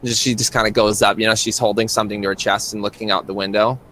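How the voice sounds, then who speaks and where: A man narrating a tabletop game off the cuff as game master, halting and repeating phrases. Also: flat delivery, bright, forceful tone. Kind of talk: casual improvised tabletop narration. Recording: noisy live stream with low rumble.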